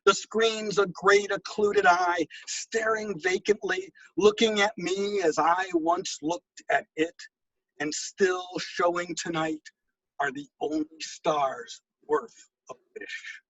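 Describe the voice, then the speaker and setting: A male poet reads in a notably high voice with plenty of creak in it. His delivery is measured and deliberate, moving between poems and spoken introductions, and his intonation swings widely. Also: very animated, fairly bright tone, dry recording, lo-fi sound. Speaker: poet reading own work. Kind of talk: poetry reading with spoken introductions.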